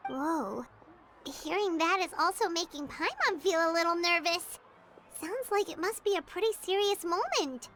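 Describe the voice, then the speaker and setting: A woman voicing a tiny, excitable fairy companion in a video game, with a squeaky, childlike voice. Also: normal speed, slight background noise, heavily acted. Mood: concerned. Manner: excitable, speaks of self in third person.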